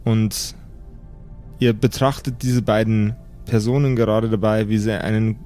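A man's voice: lowish voice, fairly even intonation, measured pace, warm tone. Male game master narrating over music. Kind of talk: improvised narration of a tabletop horror adventure. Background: background music.

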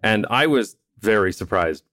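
A man's voice: lowish voice, animated, normal speed, a bit bright, no room sound, clean studio sound. A man hosting a casual podcast conversation, explaining things at length in a thoughtful way. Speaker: podcast host.

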